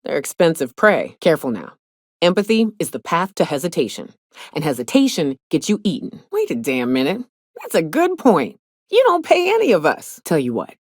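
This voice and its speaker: An actress playing a gruff, hard-drinking female monster hunter in a video game, sardonic and blunt, with a loose, earthy drawl. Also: fairly low pitch, no echo, studio quality. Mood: teasing. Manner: sardonic, blunt, swaggering, colloquial swearing. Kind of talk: video game character dialogue. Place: close, dry studio recording.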